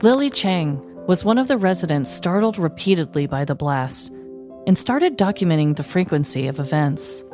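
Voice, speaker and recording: Lowish-voiced woman, wry scripted storyteller. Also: lively intonation, moderate pace, dark tone, soft background music. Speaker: podcast host. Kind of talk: scripted comic true-crime storytelling.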